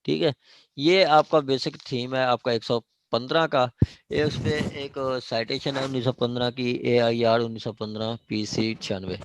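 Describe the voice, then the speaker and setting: A man's voice: medium pitch, steady tone, normal speed. A man with a Pakistani accent gives an informal law lecture, explaining step by step, repeating himself, checking in often and mixing in English legal terms. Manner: explanatory and repetitive, with frequent check phrases; switches between Urdu and English legal terms. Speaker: law lecturer at a judicial academy.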